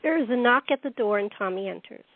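A woman's voice: fairly high pitch, lively intonation, quick pace, no echo. A woman narrating a radio play, reading out scripted stage directions. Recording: tinny phone-like lo-fi audio.

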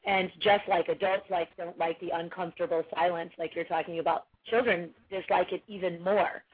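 A woman with a husky, loud voice gives steady parenting advice, restarting her sentences as she goes. The sound is narrow and tinny, like a phone call. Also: on the low side, normal speed. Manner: earnest, advising, many restarts. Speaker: parenting coach guest. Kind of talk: casual podcast conversation.

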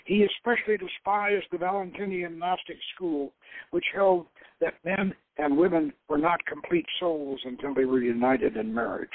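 A man acts out an intellectual, romantic young woman recounting her life. The voice sits high and speaks in a measured, reflective way, as in an audio novel. It comes dry through a tinny, narrow phone-like line. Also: no room sound, natural voice. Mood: earnest, critical. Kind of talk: dramatic reading of an adventure novel.

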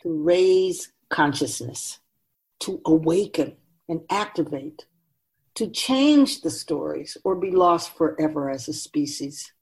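A woman's voice: low voice, animated, slow, darkish tone, exaggerated voice. A woman playing a wise otherworldly keeper of souls in a radio drama, teaching humanity in an emphatic, oracular manner with rhetorical questions. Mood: solemn, instructive. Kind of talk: radio drama dialogue, lecturing.

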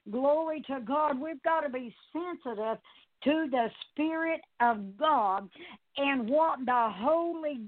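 A woman's voice: high voice, dry recording, narrow, tinny audio. A woman preacher delivering an exhorting sermon, often punctuated with short interjections of praise.

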